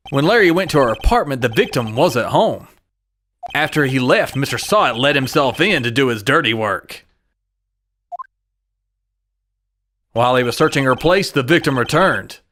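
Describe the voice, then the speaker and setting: A man playing an earnest, excitable young rookie defense lawyer in a dramatic video game dub. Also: medium pitch, slight background noise. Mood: narrating. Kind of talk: video game fandub acting with internal monologue.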